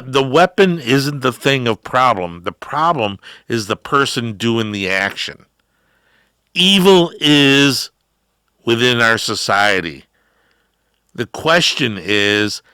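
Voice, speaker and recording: A middle-aged man hosting a talk show, delivering a rambling opinion monologue with drawn-out words and emphatic rises. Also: on the low side, pushed and bright, clean tone, no room sound, studio quality. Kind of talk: ranting political talk.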